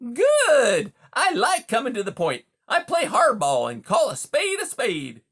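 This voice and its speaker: A narrator voicing a huge male beaver in a storybook, a brash, folksy, smooth-talking bully who talks over others. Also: mid-range voice, huge pitch swings, unhurried, fairly bright tone, voice pushed up, heavily acted. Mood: brash, interrupting. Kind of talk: audiobook character dialogue.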